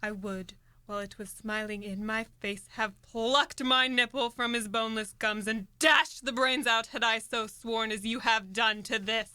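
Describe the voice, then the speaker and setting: A woman's voice: a little high, fairly even intonation, measured pace, bright, forceful tone, smooth, no room sound. A young woman acting a ruthless, ambitious noblewoman who goads in emphatic Shakespearean verse for a student radio play. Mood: fierce, goading. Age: young adult. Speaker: student actor.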